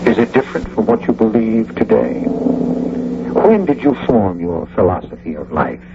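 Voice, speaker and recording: A man hosting a radio drama, giving a scripted dramatic introduction in a deliberate, probing, rhetorical manner. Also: on the low side, sing-song, heavy background noise. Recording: muffled old radio broadcast. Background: music.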